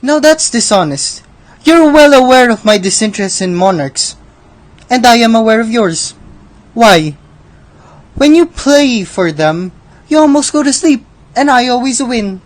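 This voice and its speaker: A woman's voice: a little high, animated, a little slow, pauses often. A woman playing Death as a sly, scornful gambler in a radio drama, with a theatrical, condescending delivery. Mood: haughty, mocking.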